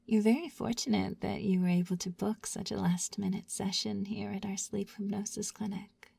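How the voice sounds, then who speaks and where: A woman whispering a slow, soothing guided sleep hypnosis roleplay in a repetitive, lulling manner, close to the mic. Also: mid-range voice, level tone, creaky, warm tone, breathy edge. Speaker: hypnosis ASMR narrator.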